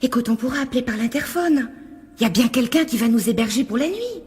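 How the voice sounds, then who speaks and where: A woman's voice: on the high side, lively intonation, a little fast, a little mellow, natural voice. An actress playing a timid, scatterbrained woman of twenty-two in a radio mystery drama, with an anxious, pleading, girlish manner. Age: young adult.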